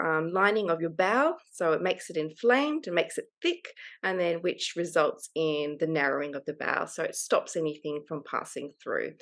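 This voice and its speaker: A woman in her thirties with an Australian accent, speaking candidly and openly as an interview guest. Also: sits low. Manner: candid, open, laughs easily. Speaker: guest author. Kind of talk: casual interview conversation.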